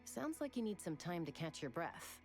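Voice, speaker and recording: A woman's voice: on the low side, very animated, moderate pace, darkish tone, soft background music. A woman voicing a friendly, considerate tribeswoman in a video game, with an easy conversational manner. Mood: friendly, concerned.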